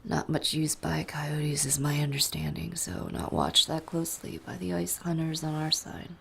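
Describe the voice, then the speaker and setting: A woman playing a weary, withdrawn go-between in an audio drama, listless and deliberate, often slipping toward a breathy whisper. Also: deep voice, fairly bright tone, a little hiss or hum, exaggerated voice. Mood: weary, secretive. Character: weary, withdrawn woman arranging a covert deal. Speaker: voice actor.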